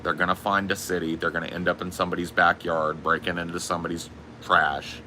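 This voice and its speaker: A man with a booming voice, telling stories in a casual, profane podcast chat. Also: level tone, fairly quick, sharp tone, slight background noise. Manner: profane, storytelling. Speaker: podcast host.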